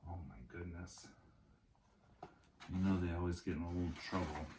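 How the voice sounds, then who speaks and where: A man reading a picture book aloud to young children like a teacher, in an expressive, dramatic way with chatty asides. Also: low voice, moderate pace, reverberant, noisy background.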